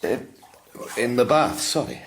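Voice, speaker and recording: Haunted psychic detective, Scottish, subdued and hesitant, for radio drama. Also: male voice, mid-range voice, expressive, measured pace, a crowd in the background. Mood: embarrassed. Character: haunted, soft-spoken male psychic detective.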